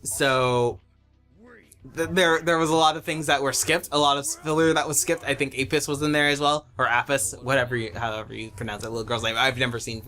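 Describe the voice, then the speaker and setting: A man talking casually in a group commentary, often halting and rephrasing himself as he goes. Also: mid-range voice, steady tone, sharp tone, soft background music. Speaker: editor of a fan abridged anime series.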